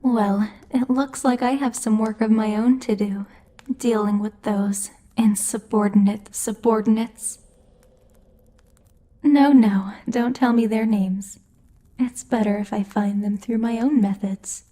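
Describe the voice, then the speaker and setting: Woman to play imperious female demon lord for ASMR roleplay: soft-edged mellow tone, higher register, measured and teasing, protective boss. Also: fairly even intonation. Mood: composed, wry.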